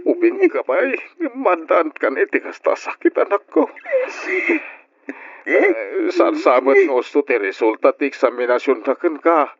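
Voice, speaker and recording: A man's voice: fairly high pitch, big pitch swings, measured pace, no room sound, lo-fi sound, full character voice. An actor playing a devoted, anguished older father in an Ilocano radio drama, pleading and emotional, on an old recording.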